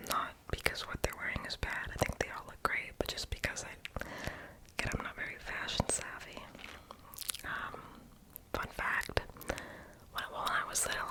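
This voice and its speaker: Whispering young adult doing ASMR voiceover. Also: low-quality recording. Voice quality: unvoiced breathy whisper. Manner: rambling, casual, self-deprecating; mouth sounds, lip smacking. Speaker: ASMR video creator.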